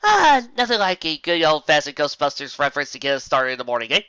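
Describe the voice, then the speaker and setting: A woman's voice: deep voice, very animated, fast, fairly bright tone, clean tone, dry recording. A woman voicing a goofy, bubbly female pink pony with a playful, silly manner. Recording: dull lo-fi recording.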